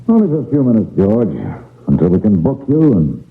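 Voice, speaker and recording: An actor playing a calm, authoritative detective with a wry manner in a radio mystery drama. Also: male voice, on the low side, fairly quick. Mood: firm, wry. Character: calm, commanding male detective making an arrest. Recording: tinny, narrow-band old radio recording.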